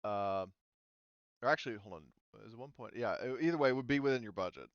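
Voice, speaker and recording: Male game master, casual and sweary, clear resonant voice, dry and slightly dull voice-chat sound. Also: medium pitch, fast, no room sound. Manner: casual, profane. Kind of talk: casual tabletop game session talk.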